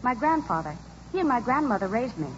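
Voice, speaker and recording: An actress playing an earnest, trusting young farm woman in a radio play, wholesome and gentle in manner. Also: fairly high pitch, sing-song, fairly quick. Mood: earnest, nostalgic. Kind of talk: 1940s radio acting. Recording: dull old radio recording, faint noise.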